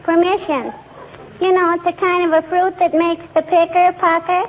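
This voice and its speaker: A woman's voice: very high-pitched, flat delivery, normal speed. A woman playing a chatty, inquisitive little girl in a 1940s American radio comedy. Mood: cheeky, innocent. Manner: pestering questions, cute catchphrases. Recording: tinny, noisy old radio broadcast, audience behind.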